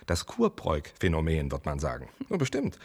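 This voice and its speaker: A man's voice: a bass voice, wildly swooping pitch, normal speed, full character voice. An actor playing an ambitious, self-assured male medical researcher in a German radio play, speaking in a wry, enthusiastic conversational way. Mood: elated, teasing.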